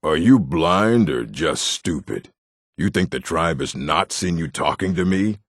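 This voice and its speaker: An actor playing a proud, weary orc chieftain in a fantasy video game, with a deep, rough voice and a terse, scornful, commanding manner. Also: male voice, lively intonation, measured pace, no room sound, clean studio sound, full character voice. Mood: scornful, stern.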